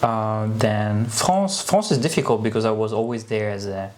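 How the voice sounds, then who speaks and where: A man's voice: on the low side, normal speed, a little mellow, slight background noise. A man talking casually as an interview guest, reflective and hesitant as he searches for words. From Italy (Sicily).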